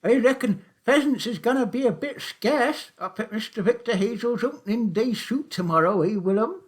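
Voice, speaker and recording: A gleeful old man behind the wheel of a taxi, his voice jumping high and loud with excitement, put on by a male narrator. He chatters in a rustic, chortling way, his pitch swooping all over the place. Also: moderate pace. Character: old man, cheerful chuckling taxi driver.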